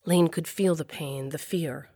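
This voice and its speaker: A woman's voice: low voice, fairly even intonation, a little slow, mellow, breathy edge, no room sound, studio quality, exaggerated voice. A woman playing a wry, middle-aged storyteller, narrating an audio drama in the first person with an intimate, confiding manner. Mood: storytelling.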